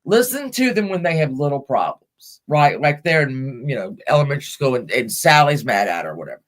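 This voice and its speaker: Woman in her forties, blunt joking host, lively swooping pitch. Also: brisk. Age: 40s. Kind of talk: casual chat.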